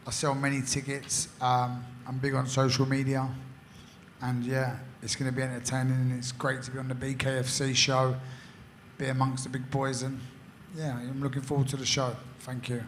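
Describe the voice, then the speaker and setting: A man from London, a fighter, introducing himself at a press conference in a halting way. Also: medium pitch, completely flat delivery, slight background noise. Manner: plain, halting, repeats words.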